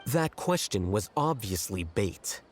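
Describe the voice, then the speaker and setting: A man's voice: fairly low pitch, very animated, slow pace. A man playing an aloof, rational young scholar in an anime game, terse and detached. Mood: detached, wry. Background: music.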